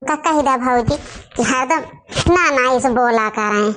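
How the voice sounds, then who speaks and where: A woman's voice: sits high, unhurried, fairly bright tone, a little hiss or hum. A woman voicing bickering village sisters-in-law in an Awadhi family comedy cartoon, with an Awadhi accent and a complaining singsong manner. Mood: resentful, grumbling.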